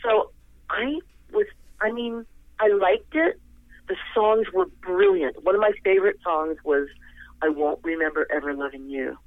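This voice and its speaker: A woman's voice: lowish voice, unhurried, no echo. Older lady singer gushing on the phone, rambles, laughs a lot, thin phone sound. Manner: effusive, rambling, laughs often. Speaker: singer, interview guest. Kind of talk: casual interview over the phone. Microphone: telephone. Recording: tinny phone line, dry.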